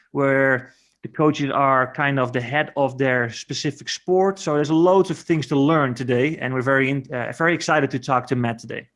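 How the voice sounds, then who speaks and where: Male co-host, medium pitch, upbeat informal intro. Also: a bit bright. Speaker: podcast co-host. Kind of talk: podcast introduction.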